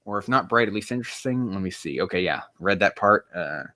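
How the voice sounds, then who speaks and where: A man's voice: sits low, lively intonation, a little fast, sharp tone, no room sound. A man hosting a sarcastic reaction reading of fan fiction, with a profane, mocking manner prone to exasperation. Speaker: host.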